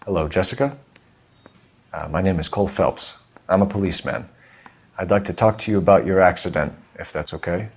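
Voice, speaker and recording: A man voicing an earnest, probing police detective in a video game, measured, formal and pressing. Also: deep voice, darkish tone, husky. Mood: polite, probing. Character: earnest, probing male police detective, stern but controlled.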